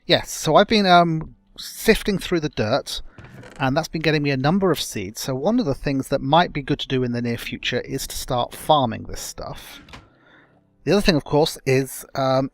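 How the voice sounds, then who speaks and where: A young man hosting a casual gameplay video, chatting and rambling through his progress with a slightly croaky voice. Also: medium pitch, moderate pace, dry recording, faint music behind. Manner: rambling, self-correcting, chatty. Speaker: let's play host.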